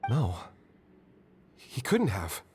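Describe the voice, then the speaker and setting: An actor playing a loyal, anxious man in a video game, husky and hesitant, speaking emotionally. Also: lowish voice, huge pitch swings, drawn-out words, a little mellow, a little hiss or hum, full character voice. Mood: disbelieving, distressed. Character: loyal, anxious man refusing to believe bad news. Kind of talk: scripted video game dialogue.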